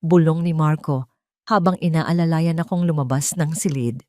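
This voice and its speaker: A woman reading scripted first-person narration of a dramatic story in a measured, solemn way. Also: deep voice, mellow, no echo.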